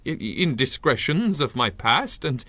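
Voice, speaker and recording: An eccentric older doctor of science in a vintage-style radio play. He is formal and proud, with a very animated, mid-pitched voice. He turns flustered and stammers as he pleads, close and dry on the mic. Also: moderate pace, no room sound. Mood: flustered, pleading. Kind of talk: 1930s-style radio drama acting.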